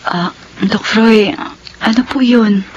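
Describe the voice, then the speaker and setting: A woman's voice: medium pitch, steady tone, slow, muffled recording, slight background noise, lightly acted. A woman playing a heartbroken young nurse, tearful and earnest, in a radio drama. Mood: hesitant, subdued. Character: heartbroken young nurse pleading with her comatose ex-boyfriend. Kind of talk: Tagalog radio drama acting.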